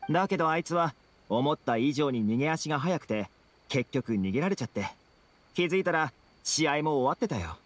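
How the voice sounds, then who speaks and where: Lively, friendly young game hero. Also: male voice, on the high side, a little fast. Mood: friendly, recounting. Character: friendly, easygoing young man, fantasy game companion. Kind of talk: Japanese anime game voice acting. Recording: background music behind dialogue.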